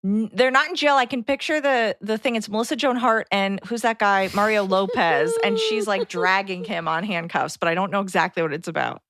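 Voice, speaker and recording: A woman's voice: mid-range voice, lively intonation, brisk, few pauses, fairly bright tone, a little hiss or hum. A woman co-hosting a podcast, chatting casually and conversationally. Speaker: podcast co-host.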